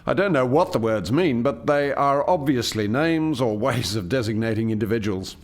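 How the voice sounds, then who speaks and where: Radio play lead: male actor as proud alien project scientist, formal and articulate, very lively pitch movement, mid-range voice. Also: moderate pace, natural voice. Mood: businesslike. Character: male alien chief scientist, eager, proud, explaining his experiment. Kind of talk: radio play dialogue, expository.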